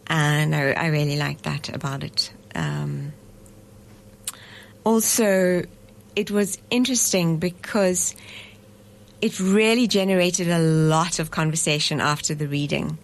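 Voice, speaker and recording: Thoughtful woman, low voice. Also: steady tone, measured pace, creaky. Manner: thoughtful, hesitant with fillers and restarts, laughs easily. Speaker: festival director, guest. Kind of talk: radio interview. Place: radio studio.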